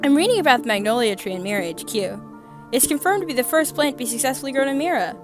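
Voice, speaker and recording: A woman voicing a sweet, bubbly young female crewmate in a comic dub, with a cutesy, expressive delivery. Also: high voice, fast, music in the background. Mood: earnest. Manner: cutesy, sing-song, expressive.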